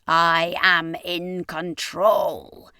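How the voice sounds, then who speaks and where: A woman's voice: lowish voice, flat delivery, very slow, sharp tone, smooth, no room sound, clean studio sound, heavily acted. A woman voicing an arrogant, taunting male demon god of vampires in a fantasy audiobook, mocking and imperious. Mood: commanding.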